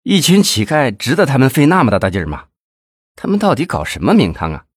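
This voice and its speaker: A man's voice: mid-range voice, huge pitch swings, fast talker, breathy edge, dry recording, clean studio sound, voice pushed up. A man doing a baffled police chief.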